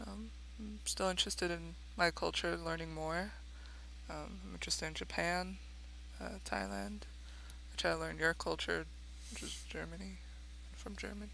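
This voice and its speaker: Co-host, woman, Spanish native speaker, gravelly bright voice, faint hum. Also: on the low side, expressive, normal speed, rough recording. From Mexico. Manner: brief, laughing asides. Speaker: co-host reading questions.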